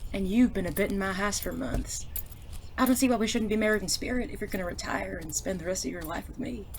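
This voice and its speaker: A woman's voice: mid-range voice, fast, a little hiss or hum, lightly acted. A woman playing an affectionate, playfully haughty outlaw in a western audio drama, with flowery, genteel diction. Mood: tender, mock-wounded.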